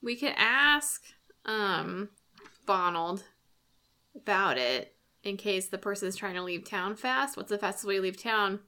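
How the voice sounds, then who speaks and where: A woman talks casually during a tabletop game with friends. She is chatty and quick to joke. Her voice is bright and sharp. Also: medium pitch, lively intonation. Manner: chatty, joking, laughs often. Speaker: player.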